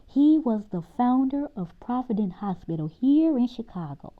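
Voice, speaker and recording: A woman's voice: a little high, very animated, normal speed, narrow, tinny audio. A woman reading the part of a patient, knowledgeable father who explains things to his children in a storybook. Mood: patient, explaining.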